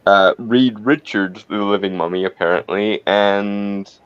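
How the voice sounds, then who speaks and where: A man's voice: lowish voice, fairly even intonation, measured pace, smooth, no room sound, dull audio. A man chatting casually as a player in a roleplay game, hesitant and repeating his words. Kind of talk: casual chat.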